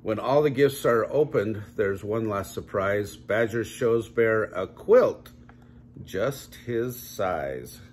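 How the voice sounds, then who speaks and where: An older man reading a children's story aloud at home in measured, drawn-out storybook phrasing. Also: on the low side, lively intonation, darkish tone, husky. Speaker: grandpa reading a picture book aloud. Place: small home room, indoors.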